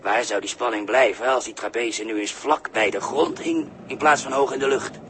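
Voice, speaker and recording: An actor playing a sly, patient bystander who is mocking and quietly menacing, in deliberate, condescending 1960s radio drama style. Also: male voice, medium pitch, lightly acted. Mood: smug, teasing. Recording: noisy early 1960s radio recording.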